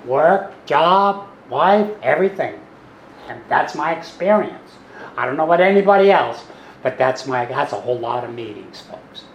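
An older man with a gravelly, rough voice and a drawl, talking quietly to a meeting. He rambles, restarts sentences and pokes fun at himself. His voice rings around an echoey hall with a faint hum of background noise. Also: medium pitch. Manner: soft-spoken, self-deprecating, meandering with restarts. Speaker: guest speaker at a recovery meeting. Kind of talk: personal talk to a meeting.

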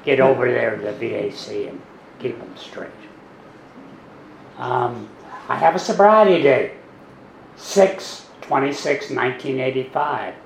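An older man with a gravelly, rough voice and a drawl, talking quietly to a meeting. He rambles, restarts sentences and pokes fun at himself. His voice rings around an echoey hall with a faint hum of background noise. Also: mid-range voice, measured pace, pauses often. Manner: soft-spoken, self-deprecating, meandering with restarts. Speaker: guest speaker at a recovery meeting. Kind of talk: personal talk to a meeting.

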